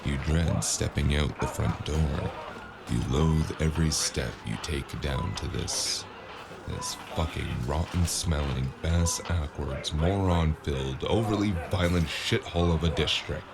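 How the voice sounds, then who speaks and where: A man acts out a sardonic noir drifter with an extremely low, creaky voice. He narrates an audio drama in a weary, mouthy way, over clearly audible music. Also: a little slow, full character voice. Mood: fed up. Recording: music behind the voice.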